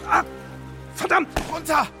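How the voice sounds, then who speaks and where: High-pitched, agitated gunman. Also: fairly even intonation, a little slow. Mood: frantic. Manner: shouting, urgent. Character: agitated, cursing gunman in a shootout. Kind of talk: German audio drama acting. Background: loud music.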